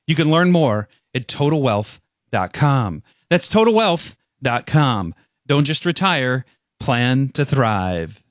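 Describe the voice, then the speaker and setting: Male ad spokesman, measured and persuasive, dry close-mic sound. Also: medium pitch, very animated, no echo. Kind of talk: scripted radio ad read.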